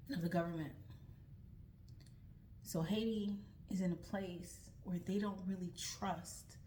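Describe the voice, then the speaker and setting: A woman's voice: on the low side, mellow, slight background noise, rough recording. Earnest young woman talking to camera. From United States. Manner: earnest, reflective, with frequent restarts and "like". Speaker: vlogger starting a nonprofit. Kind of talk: earnest personal talk to camera.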